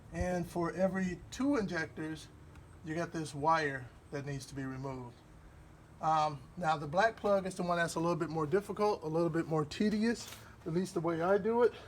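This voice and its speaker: A man from Wisconsin with a Midwestern accent, explaining a car repair step by step in a relaxed, rambling way while he works. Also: on the high side, slight background noise. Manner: relaxed, rambling, with fillers and pauses while working. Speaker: DIY car repair host. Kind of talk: hands-on repair walkthrough.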